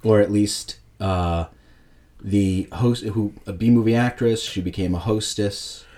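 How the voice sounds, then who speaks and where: A man co-hosting a podcast, chatting casually and telling jokey, animated stories. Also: fairly low pitch, takes it slowly. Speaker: podcast co-host. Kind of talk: casual chat.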